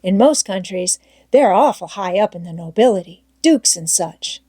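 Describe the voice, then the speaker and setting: A woman voicing an excitable, scheming boy gang leader in a storybook, emphatic and persuasive. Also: mid-range voice, moderate pace, breathy edge, no echo, studio quality. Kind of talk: storybook character voice in audiobook reading.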